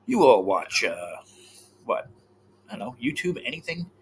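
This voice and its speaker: A man co-hosting a casual podcast chat, animated and swearing freely, often trailing off mid-thought. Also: mid-range voice, a little slow, a little hiss or hum. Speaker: podcast co-host.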